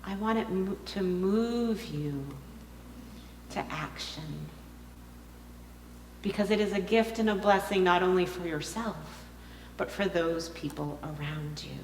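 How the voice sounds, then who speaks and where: A woman's voice: on the low side, slow, long pauses. Woman minister preaching in an echoey hall. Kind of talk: sermon, direct personal appeal. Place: large indoor congregation hall. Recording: echoey hall with long reverb, hum.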